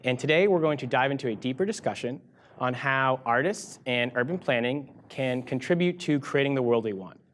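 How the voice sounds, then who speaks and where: A man's voice: medium pitch, a little fast, a bit bright. American man reading a scripted introduction. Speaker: co-host. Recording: faint background noise, room ambience.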